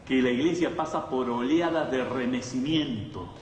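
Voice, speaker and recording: A man's voice: medium pitch, normal speed. A man with a Latin American Spanish accent preaching a conversational sermon to a congregation, emphatic, repeating phrases and asking rhetorical questions. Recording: echoey hall, distant mic, faint noise.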